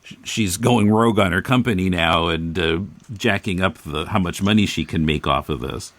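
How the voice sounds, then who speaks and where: A man's voice: sits low, normal speed, a bit bright, no echo. A man hosting a casual discussion about books, speaking a little hesitantly and correcting himself as he goes. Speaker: podcast host discussing comics.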